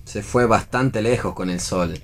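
A man's voice: on the low side, measured pace, a little hiss or hum, close to an everyday voice. An Argentine man playing a sarcastic, jittery young husband in a theatrical radio play reading.

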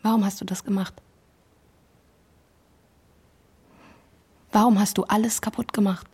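A woman's voice: medium pitch, fairly even intonation, fast talker, a little mellow, slightly rough. An actress playing a hurt, bitter wife who confronts her partner in a naturalistic radio play, controlled but accusing. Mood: wounded, pleading.

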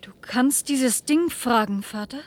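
An actress playing an anxious grown daughter in a radio play, pleading with urgent, halting delivery. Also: female voice. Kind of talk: German radio play acting. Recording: rumbly, noisy archive radio recording.

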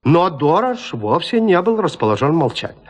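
A man narrating a classic literary tale in an audio drama, measured, with deliberate pauses. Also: on the high side, very animated.

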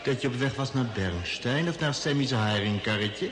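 A man playing a mysterious unseen voice in an old radio drama, calm, coaxing and commanding in a measured, insinuating way. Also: mid-range voice, noisy background, close to an everyday voice. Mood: coaxing, knowing. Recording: reverberant old radio play, music behind.

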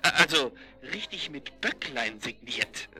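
A man's voice: fairly high pitch, very animated, a little slow. An actor playing a chatty, eager male investigator reporting his findings in a naturalistic radio drama. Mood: chatty, pleased.

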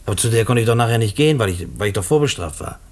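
Husky, low-voiced, despairing convict. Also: male voice, animated. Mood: bitter, resigned. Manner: colloquial, halting, repeating himself when agitated. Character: gruff, despairing convict writing letters to his mother. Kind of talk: German radio play acting.

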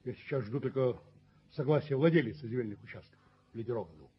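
Radio play male actor: self-justifying rich father, lecturing and firm, husky voice, dull vintage recording. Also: mid-range voice, fast talker, natural voice. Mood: conciliatory, resolved. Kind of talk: Soviet radio play acting.

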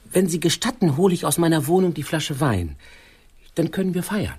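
A timid, troubled neighbour with a fairly high, husky voice. He is hesitant and very courteous, his pitch swinging about, in radio drama style. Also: lively intonation, fairly quick, a little mellow. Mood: politely eager. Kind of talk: radio play acting.

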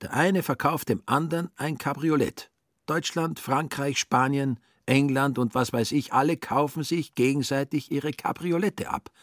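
A man's voice: medium pitch, normal speed, dry recording, studio quality, pitched above the performer's own voice, close to an everyday voice. A man voicing a self-serving young German swindler in a radio play, musing in a cynical, self-justifying way. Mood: indignant musing.

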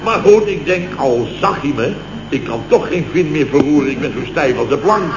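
Guy doing pompous middle-aged boss in old radio comedy, highish voice, boasting and grumbling, hissy roomy tape. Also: animated, normal speed, natural voice. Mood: boastful, dramatic. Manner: long-winded, exclamatory storytelling with tag words. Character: blustering, self-important male building contractor boss, boastful, exasperated. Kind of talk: 1960s Dutch radio comedy acting. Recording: muffled, noisy old roomy radio recording.

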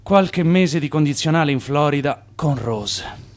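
An actor in a radio drama playing a defiant, scheming swindler, an Italian immigrant, with theatrical delivery. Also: male voice, fairly high pitch, expressive, a little slow. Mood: eager, boastful.

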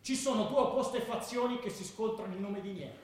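A man's voice: sits high, fairly quick, natural voice. An actor playing a hardened male ultra and former militant in a radio drama, making short, emphatic declarations. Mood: proud, intense. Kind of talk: theatrical radio drama monologue. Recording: very echoey room.

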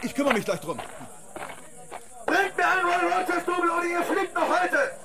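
An actor playing a bullying male film director who yells orders at his crew. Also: very high-pitched, expressive, moderate pace, over loud music. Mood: furious. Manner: yelling, bossy.

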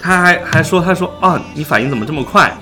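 Bright, lively young man chatting. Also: fairly high pitch, quick pace. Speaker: guest on a live show. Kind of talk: casual banter. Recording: faint music behind the voice. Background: faint background music.

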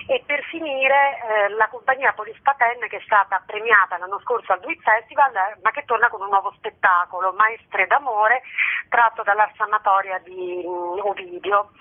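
A woman festival curator explaining her programme as a guest in a radio interview. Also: medium pitch. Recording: tinny, narrow telephone line.

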